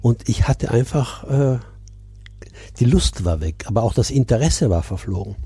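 An older man in his seventies speaks in a very dark, husky voice. He is from Milan and recounts personal experiences in a deliberate, reflective way, as a guest on a podcast. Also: mid-range voice, animated, no echo. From Milan, Italy. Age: 70s. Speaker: coach, interview guest.

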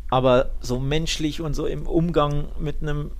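A man's voice: a little high, steady tone, moderate pace. A man talking football in a casual, colloquial and opinionated way, with emphatic delivery. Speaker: football podcast host.

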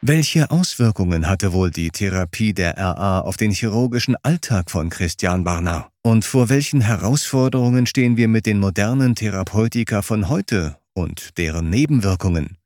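A man narrating a scripted documentary in a measured, factual way. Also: on the low side, animated, a little mellow, no echo, clean studio sound.

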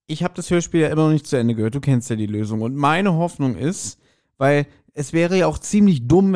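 A man's voice: a little high, mellow. A man co-hosting a podcast, casually discussing an audio drama and critically reasoning aloud. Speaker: podcast co-host.

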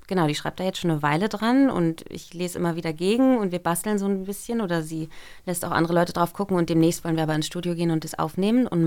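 Low-voiced woman chatting casually. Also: clean tone. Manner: relaxed, thinks aloud with many fillers. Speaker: voice actor guest. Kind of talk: casual interview chat.

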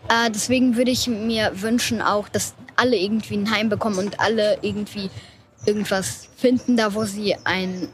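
A young woman speaking haltingly, with many fillers, as an event participant. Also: medium pitch, steady tone, a little hiss or hum.

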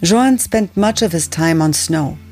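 Calm woman narrating a podcast. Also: fairly low pitch, measured pace, faint music behind. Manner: calm, even storytelling. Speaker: podcast host. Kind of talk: scripted narration.

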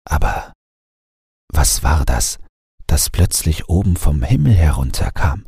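A man reading a bedtime story as an audiobook narrator, in a gentle, measured manner. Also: rumbling low, very animated, creaky, husky, no echo, studio quality.

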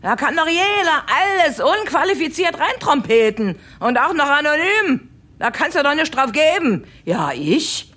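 A woman's voice: sits high, sing-song, measured pace, fairly bright tone, heavily acted. An actress playing a stubborn, sarcastic middle-aged customer service woman in an audio drama. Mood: indignant. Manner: indignant, sarcastic.

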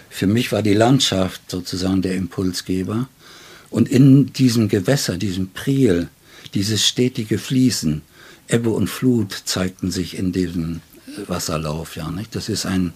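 Older male writer, northern German origin, low husky voice, pensive and halting interview delivery. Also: darkish tone. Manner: thoughtful, halting, tag questions. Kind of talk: reflective literary interview.

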